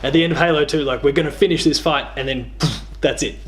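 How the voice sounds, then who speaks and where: A man's voice: on the high side, fast talker. An Australian man in his thirties hosting a casual chat about video games. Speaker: host. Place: living room, indoors.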